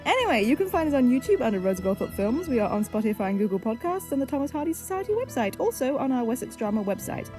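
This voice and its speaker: A woman podcast host with a high, very animated voice gives informal closing credits. She is chatty, enthusiastic and self-mocking, with music clearly playing behind her. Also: fast talker, few pauses.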